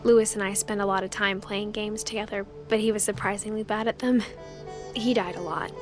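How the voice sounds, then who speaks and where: A young woman with an American accent playing a wistful teenage girl, narrating her memories softly and reflectively in a game. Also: medium pitch, fairly even intonation, a little fast, close to an everyday voice. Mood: fond. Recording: music behind the voice.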